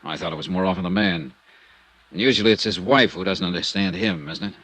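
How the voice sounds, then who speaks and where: A man's voice: low-pitched, fast, fairly bright tone. An actor playing a hard-boiled male insurance investigator in a 1950s radio drama, narrating and questioning with dry, persistent wit. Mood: wry. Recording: muffled old radio broadcast.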